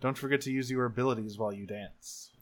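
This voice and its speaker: A man's voice: mid-range voice, fairly even intonation, quick pace. A man casually improvising narration as a player in a tabletop role-playing game.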